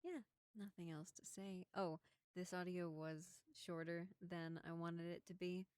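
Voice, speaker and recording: A woman voice actor chatting informally and quietly to her listeners, in a casual sign-off style. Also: lowish voice, little pitch movement, measured pace, smooth, no echo, studio quality. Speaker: voice actor and channel creator. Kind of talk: casual outro chat.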